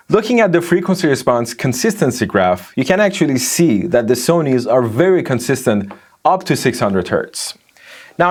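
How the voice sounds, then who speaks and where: Male technical reviewer, explanatory scripted read, medium pitch with very varied intonation. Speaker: audio reviewer.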